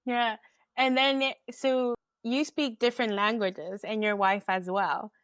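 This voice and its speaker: A woman's voice: on the high side, flat delivery, measured pace, a bit bright, clear, resonant tone, dry recording. A woman host whose first language is Spanish, talking reflectively and chattily in English in a casual conversation. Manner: reflective and chatty, many "like" fillers. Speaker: podcast host.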